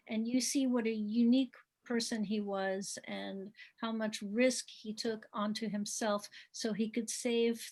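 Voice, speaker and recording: Higher-pitched woman hosting an event. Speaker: event host introducing the program. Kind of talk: spoken welcome at an online event.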